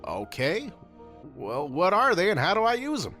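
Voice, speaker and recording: A man playing a cocky young masked rider hero who is casual, sarcastic and quick to swear. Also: sits high, wildly swooping pitch, sharp tone, faint music behind, heavily acted. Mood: bewildered. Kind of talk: fan audio drama.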